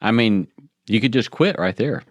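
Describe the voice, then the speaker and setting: A middle-aged man who teaches theology, co-hosting a podcast and answering in a hesitant way with many pauses. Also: on the low side, lively intonation, no room sound, clean studio sound. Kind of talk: conversational podcast chat.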